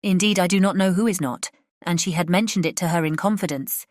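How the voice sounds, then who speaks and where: An actress with a British accent playing a chatty, scatterbrained, good-natured middle-aged spinster who rambles effusively in an audiobook drama. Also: female voice, fairly low pitch, a little fast, dry recording, studio quality, natural voice. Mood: chatty, flustered. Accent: British English. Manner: rambling, effusive, self-interrupting. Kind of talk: dramatized classic novel audiobook.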